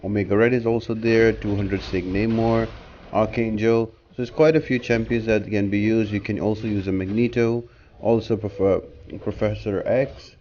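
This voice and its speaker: A man's voice: lowish voice, fairly even intonation, moderate pace, a little mellow. A man giving a casual, step-by-step game walkthrough as a guide host, explaining tactics in an instructive way. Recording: dull lo-fi mic with clear noise.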